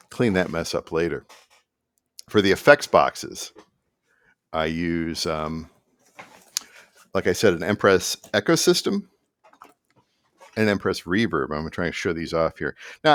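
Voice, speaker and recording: A middle-aged man with a notably deep voice chats informally to the camera. He drifts between asides and restarts in a halting, unscripted explainer style. The sound is dry, with very little room echo. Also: fairly bright tone. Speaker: musician and vlogger. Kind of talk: casual rambling explainer to camera. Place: small indoor room at a desk.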